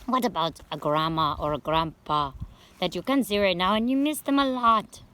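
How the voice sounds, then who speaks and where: A woman voicing a gentle, excitable shell-dwelling sea creature who teaches young children, repeating phrases and coaxing them with questions. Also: lowish voice, moderate pace, lightly acted. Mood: tender, friendly. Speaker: host of a children's educational segment. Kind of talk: children's science talk.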